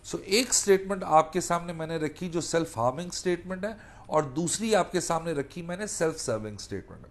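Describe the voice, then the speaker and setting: A man's voice: a little high, expressive, fairly quick. A man with a Pakistani accent giving an explanatory law lecture, didactic, restating points and mixing in English legal terms. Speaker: law lecturer and defence attorney.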